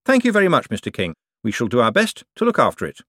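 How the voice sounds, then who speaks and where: A man voicing a polite, eager young banker in a raised, bright voice. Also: medium pitch, huge pitch swings, fast, dry recording, clean studio sound, voice pushed up.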